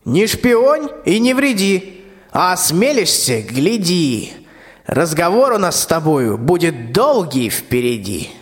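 A man's voice: fairly high pitch, big pitch swings, slow, husky, no echo, clean studio sound, heavily acted. A male amateur actor playing a bossy, lovestruck tsar in a rhymed folk-tale radio play, declaiming pompously. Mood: suspicious, threatening. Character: blustering lovestruck old tsar, bossy and wheedling.

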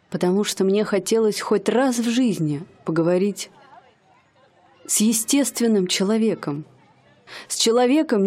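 A woman's voice: medium pitch, a little mellow. An actress playing a young woman who poses as a bored rich lady in a radio play, speaking in a haughty, mannered way. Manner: haughty, mannered, languid speech.

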